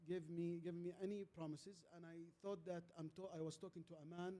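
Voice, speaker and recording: Mellow, higher-voiced man with an Arabic accent. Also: fairly even intonation. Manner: halting, repeats words, emphatic short phrases. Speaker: guest speaker, transformation leader. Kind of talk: fireside chat, anecdotal storytelling.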